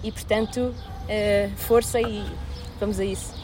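A woman's voice: medium pitch, fairly even intonation, a little slow, a little mellow, slight background noise. A woman with a European Portuguese accent, opening a political videocast and introducing her guest formally, with some fillers. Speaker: interview host. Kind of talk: hosting a youth political videocast.

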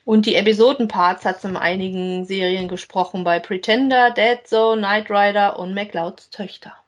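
A woman's voice: mid-range voice, fairly even intonation, normal speed. Casual female podcast co-host. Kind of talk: casual chat about audio drama voice actors.

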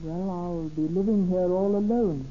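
An actress playing a coy, gushing, calculating gold-digger in a 1930s radio drama. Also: female voice, fairly low pitch, unhurried, lightly acted. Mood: coy, friendly. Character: scheming, sweet-talking gold-digging married woman. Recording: muffled, noisy old radio recording.

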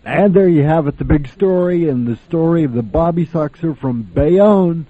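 A man's voice: fairly high pitch, lively intonation, moderate pace. A man hosting an old-time radio program, casually introducing and commenting on vintage shows. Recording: thin, phone-like sound.

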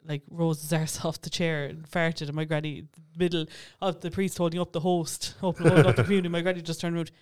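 An Irish man with a notably high, clear, smooth voice. He chats as a podcast co-host, spinning funny stories casually, giggling and swearing freely as he goes. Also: flat delivery, fast talker, hardly any pauses, darkish tone. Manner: giggly, chatty storyteller who swears casually. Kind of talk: casual comic chat.

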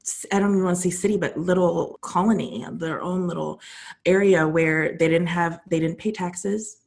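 A woman's voice: deep voice, a little mellow. A woman chatting casually on a podcast, telling a history story with plenty of fillers and restarts.

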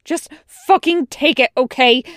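A bitter soldier played by a woman reader, broken and guilt-ridden, in a dramatic story. The voice is clear and very dry, with curt, volatile phrasing. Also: high voice, unhurried, a bit bright, no room sound, pitched above the performer's own voice. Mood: furious, snapping. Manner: snapping outbursts, clipped curt replies. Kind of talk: dramatic fan fiction reading.

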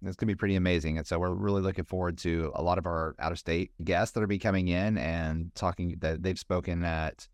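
Very low-voiced American man interviewing. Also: fairly even intonation, fairly quick, few pauses, a little mellow, no echo, clean studio sound. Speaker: interview host, festival organizer. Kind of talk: casual interview chat.

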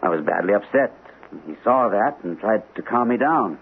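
Captain: low voice, earnest, rattled, old-time radio drama, tinny vintage sound. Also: male voice, animated, moderate pace, breathy edge, full character voice. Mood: shaken, insistent. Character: troubled army captain, a sanitarium patient, earnest and shaken. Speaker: radio actor, also narrating in character. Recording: old radio broadcast, narrow tinny band, hissy.

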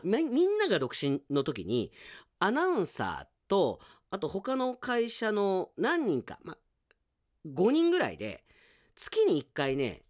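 A male announcer hosts solo radio talk and reads listener mail. His chatty delivery swings widely in pitch, recorded close and dry in a studio. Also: no echo.

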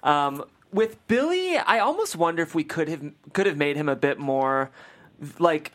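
A man's voice: a little high, expressive, normal speed, a bit bright. A man in a casual panel discussion, offering encouraging, tentative feedback on a script. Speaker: panelist giving script notes.

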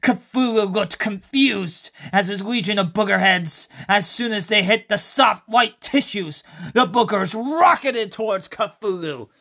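A podcast host reading a horror story and promotional notes in a halting way, repeating phrases. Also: medium pitch, fairly bright tone.

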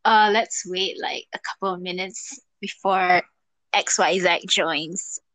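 A woman's voice: on the low side, steady tone, takes it slowly, fairly bright tone, dry recording, poor audio. A young woman co-hosting a casual podcast, chatty and playful, laughing often. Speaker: podcast co-host. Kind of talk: casual chat.

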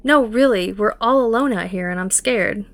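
A woman's voice: mid-range voice, normal speed, no room sound, close to an everyday voice. A woman voicing a frightened, pleading teenage girl in a spooky campfire tale. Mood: scared, pleading. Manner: urgent, pleading. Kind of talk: campfire horror story read in parts.

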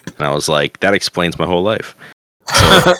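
A man co-hosting a podcast in casual chat, excitable and quick to laugh. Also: sits low, dry recording. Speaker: podcast co-host.

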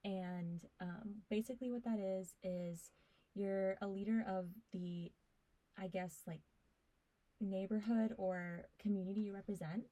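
A young woman and first-year college student speaking casually on a podcast, soft-spoken and hesitant with many pauses. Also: medium pitch, steady tone, dry recording, low-quality recording. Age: young adult. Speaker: student guest, dorm neighborhood leader. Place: college podcast recording, indoors.

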